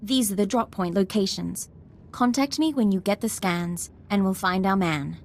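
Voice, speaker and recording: An actress playing a brisk, composed young female military ensign with dry wit in a video game. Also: mid-range voice, close to an everyday voice. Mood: businesslike.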